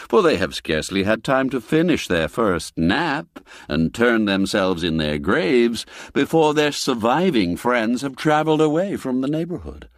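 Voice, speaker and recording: A man narrating a classic spooky tale in a measured, expressive storytelling manner. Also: fairly low pitch, no echo. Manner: measured, expressive storytelling with sweeping rises and falls. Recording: dry studio with faint music.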